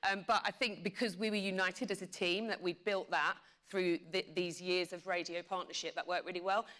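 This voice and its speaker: A woman's voice: on the low side, steady tone, normal speed, sharp tone. A woman talking conversationally and emphatically as a media executive on a panel, repeating phrases for emphasis.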